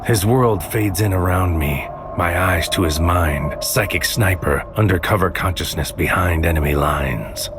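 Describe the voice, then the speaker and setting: An actor playing a world-weary psychic agent in a noir audio drama, speaking in a terse, brooding, deliberate way. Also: male voice, low-pitched, music in the background. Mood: grim, brooding. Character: world-weary psychic agent man narrating his dangerous mission.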